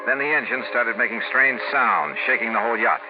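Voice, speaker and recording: A man's voice: medium pitch, fairly quick, natural voice. An actor playing a wry insurance investigator who narrates his case in a 1950s radio drama. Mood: dry. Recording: muffled old radio with music.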